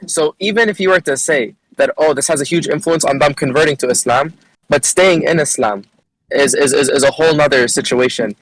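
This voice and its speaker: Male debater, a bit high-pitched, dry close sound, rushes and repeats himself. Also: no echo, rough recording. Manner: rapid, repeats words, asks if listeners follow. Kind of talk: online voice-chat debate. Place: voice chat call.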